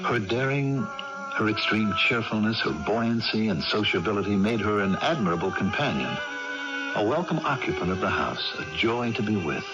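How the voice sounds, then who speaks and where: An actor playing a reflective gentleman recounting family history in a measured, formal, old-fashioned manner in a radio drama. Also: lowish voice, animated, lightly acted. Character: grown nephew, a genteel, reflective storyteller of family history. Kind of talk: 1980s radio drama narration. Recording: muffled old radio broadcast, loud music.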